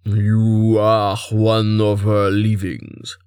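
Audiobook role: disdainful male god voiced by a male narrator, low, very slow, patronizing and commanding. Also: completely flat delivery, darkish tone, smooth, no room sound, studio quality. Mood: disdainful, interrogating. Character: stern, contemptuous male god, imperious and disdainful. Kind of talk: audiobook character acting.

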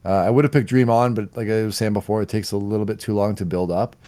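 A man co-hosting a music podcast, presenting a countdown of songs in casual chat, relaxed and laughing now and then. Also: fairly low pitch, fast. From Canada. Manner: relaxed, laughing, slight stumbles over words.

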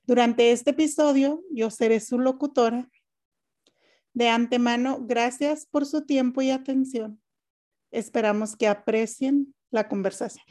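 Woman host from Mexico, calm scripted podcast delivery, warm mellow tone, fairly high voice. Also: a little slow. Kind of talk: scripted podcast introduction and moderating.

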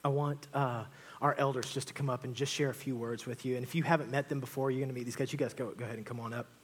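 A man's voice: medium pitch, steady tone, fast talker, a little hiss or hum. A man, a pastor giving casual, upbeat church announcements to his congregation. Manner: casual and upbeat, with frequent fillers. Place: church sanctuary.

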